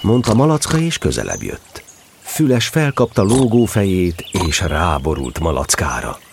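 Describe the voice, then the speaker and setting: A man narrating a children's story in an audio drama, with expressive scripted storytelling. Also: deep voice. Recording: clearly audible background noise.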